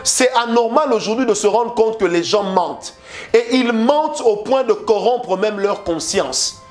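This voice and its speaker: A man's voice: high voice, unhurried, darkish tone, soft background music. A man with a Congolese French accent preaching a sermon, stressing his points with repeated phrases and rhetorical questions.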